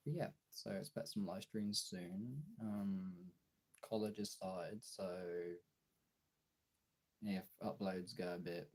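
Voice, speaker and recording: Young male streamer, husky and dark-toned, informal off-the-cuff chat with viewers. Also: deep voice. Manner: informal, chatty, answering chat as he goes. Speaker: streamer and video creator. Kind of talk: casual livestream chat.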